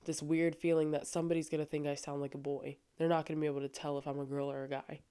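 A young adult woman with a low voice talking earnestly and casually on her faith podcast, with frequent fillers. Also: little pitch movement, fast talker, warm tone, clean tone. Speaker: podcast host. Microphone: studio microphone.

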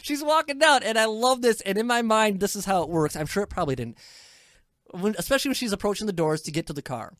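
Animated woman with lively swooping pitch. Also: quick pace, no room sound, studio quality. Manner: animated, giggly, emphatic storytelling. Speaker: podcast host. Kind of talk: casual movie review chat.

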